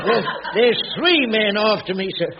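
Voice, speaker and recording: High-pitched, flustered old caretaker. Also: male voice, lively intonation, measured pace, very noisy. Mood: pleading. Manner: flustered, stammering, exclaiming. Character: anxious, boastful elderly cockney caretaker, cowardly. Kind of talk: radio sitcom acting before an audience. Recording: muffled radio recording with studio audience.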